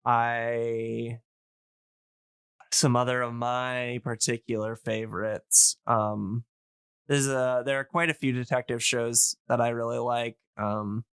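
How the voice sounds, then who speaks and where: A man with a bright, forward voice talks casually as a podcast guest. He rambles and hedges his way along, and the sound is very dry and free of room echo. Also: medium pitch, little pitch movement, takes it slowly, clear, resonant tone. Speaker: podcast guest and radio drama hobbyist.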